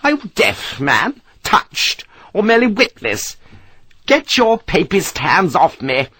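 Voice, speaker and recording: A man's voice: a little high, very animated, moderate pace, a bit bright, breathy edge, no echo, voice pushed up, full character voice. A man voicing a domineering, stout Englishwoman who scolds and bellows orders. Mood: imperious.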